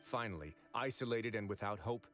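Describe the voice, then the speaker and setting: A composed prison-fortress administrator speaks in a lowish, steady voice. He explains things in a measured, even way and sounds unhurried. Faint game music plays behind him. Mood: explaining. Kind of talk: video game voice acting.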